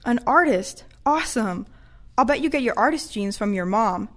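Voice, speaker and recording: A woman playing a kind, patient care-home aide in an audio play, gentle and encouraging. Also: on the high side, animated, moderate pace.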